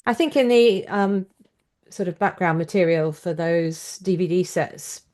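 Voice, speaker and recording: A woman's voice: on the low side, unhurried, darkish tone. A woman hosting a conversational podcast interview.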